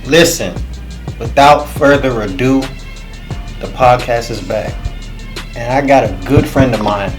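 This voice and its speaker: A man's voice: mid-range voice, unhurried, pauses often. A man hosting a casual podcast in an ordinary mid-range voice. He talks in a laid-back, affirming way, with a little music faint in the background. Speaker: podcast host.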